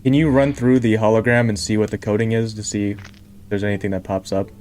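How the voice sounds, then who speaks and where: A man's voice: lowish voice, little pitch movement, fast talker, darkish tone, a little hiss or hum. A man chatting casually as a player in a tabletop role-playing game.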